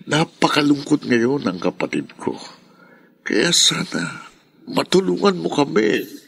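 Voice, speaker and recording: An actor playing a patient, concerned brother in a Filipino radio drama. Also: male voice, a little high, very animated, slow, creaky, fairly bright tone, breathy edge, dry recording, exaggerated voice. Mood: worried pleading. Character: patient, concerned brother of a grieving older sister.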